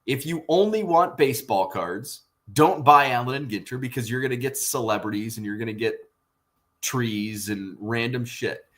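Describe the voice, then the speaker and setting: A man with a husky morning voice chatting casually in a rambling way, filling pauses with lots of ums and uhs as he hosts his own vlog. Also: medium pitch, a bit bright. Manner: rambling, filler-heavy, conversational. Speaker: card collecting vlogger.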